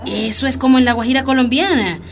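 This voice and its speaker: A woman's voice: high voice, fast, music in the background, close to an everyday voice. A woman acting as an outspoken, eager community radio colleague in a radio drama, speaking emphatically. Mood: indignant, emphatic.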